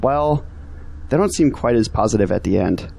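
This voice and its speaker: A man's voice: mid-range voice, expressive, normal speed, gravelly, a little mellow. A man playing an earnest, discouraged young graduate in an audio drama, complaining about his troubles. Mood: earnest, puzzled. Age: young adult.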